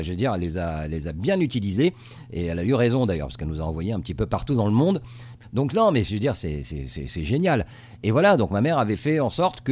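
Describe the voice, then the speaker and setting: A man's voice: low voice, a little mellow, no room sound. A middle-aged man from Tunisia, a TV journalist, answering interview questions in a casual, hesitant way full of fillers and restarts. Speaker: TV journalist based in the US.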